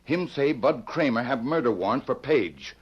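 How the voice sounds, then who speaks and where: A husky-voiced loyal Indian companion from a radio western, speaking in clipped broken English with no articles. The sound is a muffled vintage radio recording. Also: male voice, medium pitch, lively intonation, normal speed. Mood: earnest, reporting. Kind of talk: old-time radio western acting.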